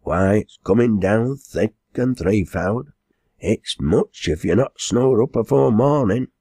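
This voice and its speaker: A blunt, hearty quarryman from a storybook inn , acted by a male reader, speaks in broad Lancashire dialect. His voice is husky and emphatic, and he takes his time. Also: lowish voice, expressive, slow, dark tone, dry recording, studio quality, voice pushed up, heavily acted. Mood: impressed, hearty.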